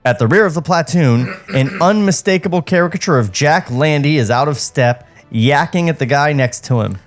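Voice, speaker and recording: A man's voice: on the high side, moderate pace, faint music behind. A man co-hosting a podcast, reading script directions aloud with sarcastic asides.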